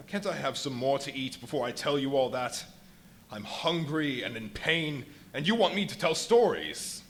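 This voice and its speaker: A man acts out an invisible fugitive scientist in a live radio drama. He is imperious, exhausted and irritable, with very mobile pitch and theatrical exclamations. Faint noise sits behind the voice. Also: expressive, normal speed, fairly bright tone. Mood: irritable, angry. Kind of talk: live radio play acting. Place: live performance at a brewery venue.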